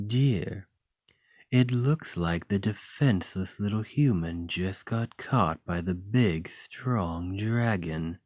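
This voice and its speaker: A man voicing a doting, teasing male dragon lover in an ASMR roleplay, talking softly and coaxingly. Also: lowish voice, dry recording. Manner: soft, playful and coaxing.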